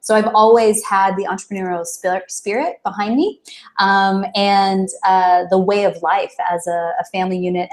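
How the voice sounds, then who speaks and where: A woman's voice: medium pitch, darkish tone. A woman in her thirties from Texas, chatting casually as an interview guest, full of ums and easy laughter. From Dallas, Texas. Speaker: guest, events company founder.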